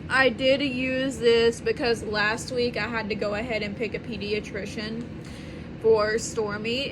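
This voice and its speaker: A woman in her twenties, hoarse and raspy from swollen tonsils, talking earnestly and rambling in a casual home vlog. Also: on the high side. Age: 20s. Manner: earnest, rambling, repeats herself. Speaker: vlogger. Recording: home recording with clear background noise.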